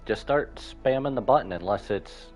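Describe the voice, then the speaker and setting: A man's voice: mid-range voice, normal speed. A man giving casual live commentary as he streams a game. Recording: dull audio over game music. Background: game music.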